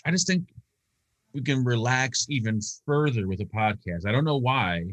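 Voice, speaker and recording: A man's voice: mid-range voice, expressive, normal speed, a bit bright, no echo. A mid-voiced man trades teasing jokes with his co-hosts on a live stream. His voice is close and dry, with no room around it. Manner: joking, teasing banter. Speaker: co-host of a live vape show. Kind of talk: casual live-stream chat.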